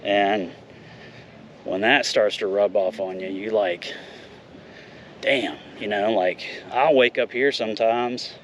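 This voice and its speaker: A man's voice: lowish voice, slow, pauses often, a bit bright, a little hiss or hum. Rambling fifty-something man vlogging. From United States. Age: 50s. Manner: candid, rambling, mild swearing. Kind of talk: casual rambling monologue.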